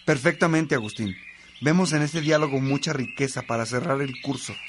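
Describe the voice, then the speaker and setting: An actor playing an eager companion in a religious community in a radio drama, birdsong behind him. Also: male voice, mid-range voice, brisk, slight background noise, close to an everyday voice. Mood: agreeable. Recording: faint birdsong ambience behind the voice.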